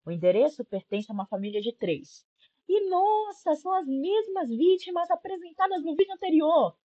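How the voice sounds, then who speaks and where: A woman's voice: very high voice, big pitch swings, normal speed, warm tone. A young woman with a Brazilian accent giving casual, sarcastic video-essay commentary, informal and prone to swearing, on a slightly dull lo-fi microphone. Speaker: YouTube commentary host.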